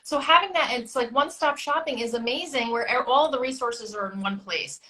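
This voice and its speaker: An enthusiastic woman hosting a live stream, gushing over her guest. Her voice sits a little high and rings very bright and forceful. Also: brisk, poor audio. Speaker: host.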